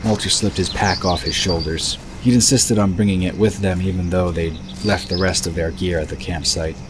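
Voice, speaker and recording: A man's voice: deep voice, fairly even intonation, moderate pace. A man narrating a scripted fantasy story in the third person as the narrator of an audio drama. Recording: background noise of birds and crickets.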